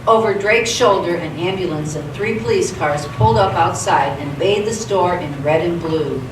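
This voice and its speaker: A woman's voice: lowish voice, moderate pace. A woman reading scripted narration as the narrator of a live radio theatre play. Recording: echoey theatre, distant mic, clear background noise.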